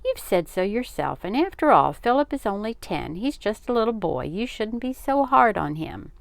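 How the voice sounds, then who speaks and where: Woman playing a gentle, higher-voiced storybook mother. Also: big pitch swings, moderate pace, creaky, no room sound. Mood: gently chiding. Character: gentle, patient mother soothing her family. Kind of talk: children's storybook reading.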